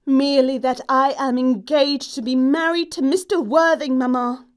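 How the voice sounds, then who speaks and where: Woman playing a high-voiced, lofty society girl. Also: fairly even intonation, normal speed, close to an everyday voice. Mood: admiring, proud. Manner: lofty, poised, formal. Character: self-assured, high-minded young society lady. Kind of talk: theatrical stage comedy acting.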